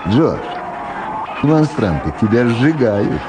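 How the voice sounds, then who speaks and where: An actor playing a sly old power broker in a 1970s Soviet radio drama, mockingly affable, unhurried and fond of repeating his words. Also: mid-range voice, big pitch swings, noisy background. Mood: sardonic, amused.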